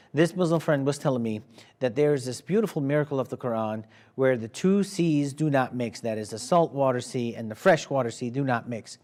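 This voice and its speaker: A man whose first language is Arabic, firmly moderating and then arguing in a debate. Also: mid-range voice. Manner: firm, steering the talk.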